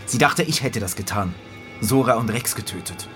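A man's voice: medium pitch, expressive, normal speed, a bit bright, close to an everyday voice. A male actor as a troubled man in a science-fiction radio drama. He sounds serious, brooding and ready to confront. His voice sits mid-range over faint music. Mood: shaken, subdued. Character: troubled, suspicious man named Newman in futuristic studio city.

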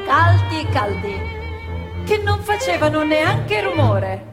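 A woman with a high voice narrates a stage play in a rhythmic, expressive way that slips into half-singing. The recording comes from a live performance, with a long reverb and a violin clearly audible behind her. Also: slow pace, over music.

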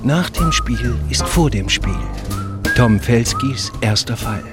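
A man's voice: medium pitch, expressive, takes it slowly, over loud music. A man announcing radio drama credits in a scripted style.

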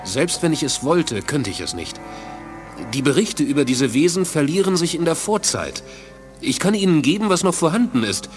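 An actor playing a courteous, somewhat guarded alien leader in a science fiction radio drama. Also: male voice, a little high, very animated, moderate pace, faint music behind. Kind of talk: 1980s German radio drama acting.

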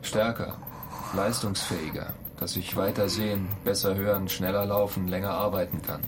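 A man's voice: deep voice, steady tone, normal speed, lightly acted. A man playing an irritable, ambitious astronaut in a radio play, blunt, impatient and argumentative. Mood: insistent. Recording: clear noise bed behind the voice.

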